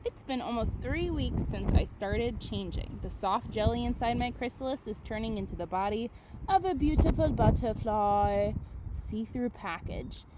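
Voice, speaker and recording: A woman teacher reading aloud to children, with expressive delivery and casual, chatty asides that put questions to her listeners. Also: on the high side, normal speed, heavy background noise. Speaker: elementary school teacher.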